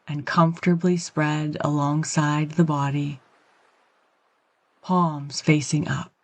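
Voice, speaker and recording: A woman guiding a yoga nidra meditation, speaking in a calm, gentle, soothing way with measured phrases and long pauses. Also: deep voice. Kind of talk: guided meditation, slow and soothing instruction.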